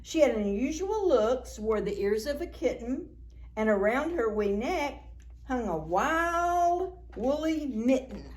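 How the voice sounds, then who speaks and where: Animated woman reading aloud to preschoolers. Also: high voice, slow pace. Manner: expressive, with asides and questions to young listeners. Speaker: children's librarian, story time host. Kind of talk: read-aloud picture book storytelling for preschoolers.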